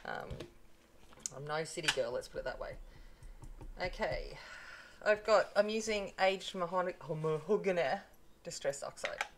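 A woman's voice: lowish voice, measured pace, long pauses, a little hiss or hum. A middle-aged woman from North Queensland with a broad Australian accent, chatting casually and self-deprecatingly while crafting at her desk. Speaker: papercraft channel host. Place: home craft desk, indoors. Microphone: tabletop mic, overhead camera on hands.

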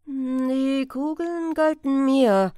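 A woman voicing a sly, sardonic male police chief inspector in an audiobook, speaking in a deliberate, thoughtful manner. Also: high-pitched, warm tone, dry recording, studio quality.

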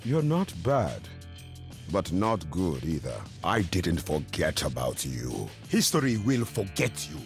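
An actor voicing a cocky, intelligent male supervillain in a video game, with a deep, slow, self-assured delivery. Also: wildly swooping pitch, vocal fry, soft background music, heavily acted. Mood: taunting. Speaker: game character voice lines.

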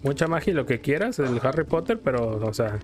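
A man's voice: mid-range voice, very animated, a little fast, a little mellow. A Mexican man streaming a game, rambling casually and playfully in slang as he tells stories and comments on play. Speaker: gaming streamer. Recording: music behind the voice. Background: video game music.

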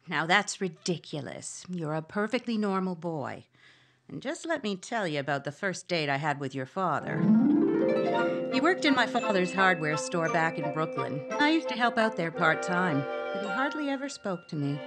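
A woman's voice: fairly low pitch, sing-song, normal speed, over loud music. A woman playing a sensible, sweet wife and mother in old-time radio comedy style. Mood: reassuring. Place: indoors at home on a video call.

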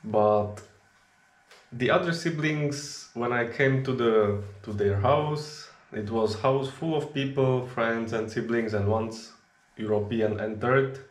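A young man from the Czech Republic, a native Czech speaker, chatting in relaxed non-native English as a vlogger, recorded on a camera at arm's length in a small kitchen. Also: medium pitch, takes it slowly, reverberant. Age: young adult. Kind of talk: casual chat.

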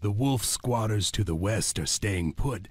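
An actor playing a bloodthirsty, scheming clan warlord in a video game, with a husky voice. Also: male voice, fairly low pitch, animated, unhurried, darkish tone. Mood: gloating. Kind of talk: dramatic game cutscene acting.

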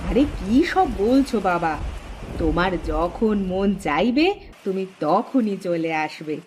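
A woman acts out a kindly older village mother-in-law for a cartoon. She is motherly and doting, coaxing in a folksy way. The recording has clear noise with rain behind it. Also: mid-range voice, animated, takes it slowly, darkish tone. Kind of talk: cartoon voice acting.

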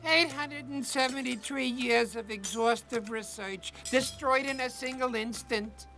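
An actor voicing a fussy centuries-old alchemist in a cartoon, complaining dramatically. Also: male voice, very high voice, unhurried, bright, forceful tone, faint music behind, exaggerated voice. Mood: aggrieved. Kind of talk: cartoon comic acting.

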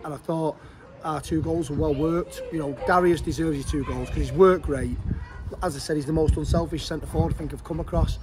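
Man, football manager, chatty and frank, slightly high voice, outdoor noise behind. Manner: frank, chatty, fast-flowing. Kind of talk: post-match interview. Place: outdoors beside a football pitch. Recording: outdoor wind and traffic noise.

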